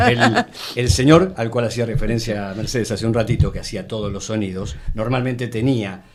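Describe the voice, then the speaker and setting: A man's voice: lowish voice, a little fast, crowd noise. A mature man with a Buenos Aires area Argentine accent, telling anecdotes conversationally as an interview guest, thinking aloud as he goes. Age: middle-aged or older. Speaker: guest, radio actor and announcer.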